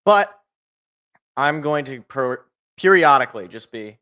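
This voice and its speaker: An American man with a slightly raspy, congested voice, hosting a casual solo sports talk show in a rambling, jokey way that repeats phrases for emphasis. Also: medium pitch, expressive, measured pace, pushed and bright. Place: indoors at home.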